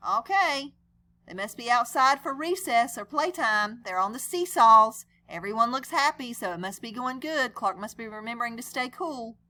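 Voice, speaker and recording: A woman's voice: fairly high pitch, animated, moderate pace, bright, forceful tone. A woman reading a picture book aloud as a teacher would, chatting conversationally to young listeners with questions and explanations. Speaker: read-aloud storyteller and teacher.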